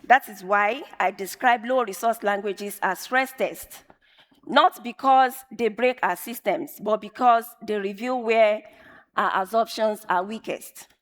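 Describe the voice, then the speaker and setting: A woman whose first language is Yoruba, giving a measured, emphatic conference talk and repeating phrases for effect. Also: medium pitch, a bit bright. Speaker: conference speaker. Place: large conference hall. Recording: long reverb of a large hall.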